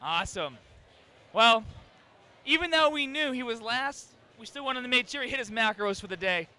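A young man hosting a contest with a handheld mic in a crowded convention hall, talking loud and upbeat. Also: very high-pitched, expressive, moderate pace, clean tone. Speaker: host. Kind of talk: energetic event hosting.

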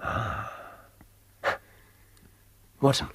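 An actor playing a brilliant, aloof English detective in a radio drama, precise, musing and dryly amused. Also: male voice, drawn-out words, fairly bright tone, a little hiss or hum. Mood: musing, brisk.

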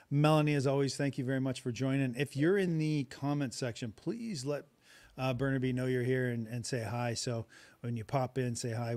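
A man's voice: medium pitch, a little mellow, no echo. A middle-aged man hosting a podcast over a video call, chatty and upbeat with frequent laughs, speaking into a headset mic from a small home room. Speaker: podcast host. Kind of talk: casual video-call chat.

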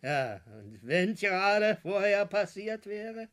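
An actor in a German radio play from around 1960, playing a bossy small-time crook with a Berlin accent and an emphatic, stammering, colloquial delivery. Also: male voice, high voice, sing-song, slow, a bit bright, heavily acted. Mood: scolding, agitated.

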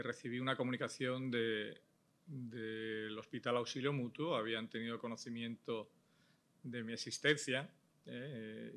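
A man, a transplant surgeon recounting his story at a panel, measured and thoughtful, pausing often on "eh". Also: mid-range voice, pushed and bright. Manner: measured, thoughtful, with frequent "eh" pauses. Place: indoor panel stage before a logo backdrop. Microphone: table microphone on a stand.

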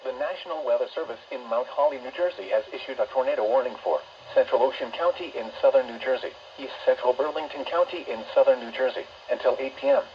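A synthetic American male voice of middling pitch reads emergency weather alerts in a measured, mechanical way. The sound is dull and muffled, coming out of a small radio speaker. Clear noise sits behind the voice. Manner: flat, measured synthetic reading. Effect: synthetic text-to-speech voice. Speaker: automated weather radio announcer. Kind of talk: emergency alert announcement, scripted. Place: weather radio playback filmed up close indoors.

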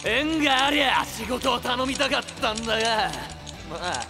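An actor playing a brash, rough young gang boss who talks in coarse, swaggering street speech in a voice drama. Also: male voice, extremely high pitch, lively intonation, moderate pace, music in the background. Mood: cocky. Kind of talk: anime voice drama acting.

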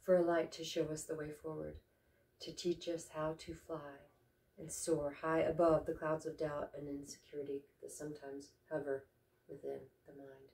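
Meditation guide, female, low mellow tone, reverent and unhurried with pauses, recorded in an echoey space. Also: little pitch movement. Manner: slow, reverent, deliberate with pauses. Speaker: meditation guide and ceremony host. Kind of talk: guided meditation with spoken invocations. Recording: roomy echo, distant microphone.

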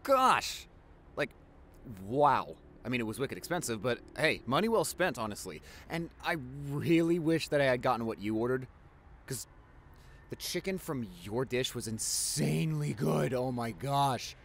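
Man playing an animated, affectionate young boyfriend. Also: medium pitch, a little slow, vocal fry, a little hiss or hum. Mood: playful, excited. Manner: gushing, chatty, with repeated words and exclamations. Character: affectionate, playful young doctor boyfriend on anniversary date. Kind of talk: intimate boyfriend audio roleplay, addressing the listener.

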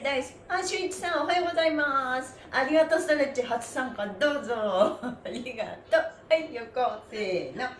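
A woman leading a live stretching class, cheerful and encouraging, chatting with viewers and chanting counts. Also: on the high side. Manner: cheerful, encouraging, often laughing. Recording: roomy echo, faint background noise.

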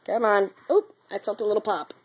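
A young mother, sick and speaking lower than usual, talking to her baby in a playful, sing-song way while filming in the nursery on a handheld camera. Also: steady tone, a little fast, a little hiss or hum. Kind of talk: home video baby talk. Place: baby's nursery, indoors.

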